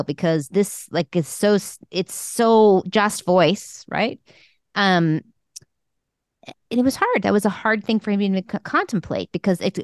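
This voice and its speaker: A woman's voice: medium pitch, no echo, studio quality. A woman co-hosting a talk show, giving frank, emphatic advice to emerging writers in a casual conversational way. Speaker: podcast co-host and screenwriting mentor.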